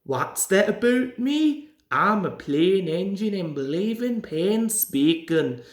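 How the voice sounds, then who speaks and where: A woman's voice: medium pitch, very animated, slow pace. Lady doing a cocky grumpy little engine guy for a kids story, snorty, swings about, echoey. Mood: gruff, indignant. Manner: blunt, snorting, boastful. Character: plain-speaking, grumpy, swaggering little steam engine, male. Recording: roomy, echoing home recording.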